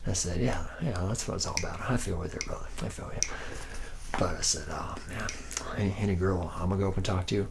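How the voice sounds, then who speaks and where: Man playing a deep, gravelly, boozy slacker in ASMR. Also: fast, noisy background. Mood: chummy, rambling. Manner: slack, rambling, boastful, slangy, repeating himself. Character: laid-back drunken womanizing male robot factory worker. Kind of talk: ASMR roleplay, soft improvised-sounding monologue.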